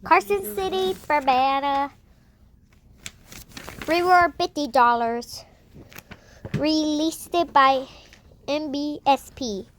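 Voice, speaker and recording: High-pitched child reading out slowly, halting. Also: a bit bright. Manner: halting, stumbling read-out delivery. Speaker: amateur video maker. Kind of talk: pretend emergency alert announcement. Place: at home with family talking nearby. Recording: noisy home recording with household chatter.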